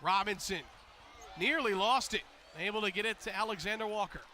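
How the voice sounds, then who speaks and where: An American man doing energetic live basketball play-by-play commentary, building to excited calls on big plays. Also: high-pitched, fairly quick, slight background noise.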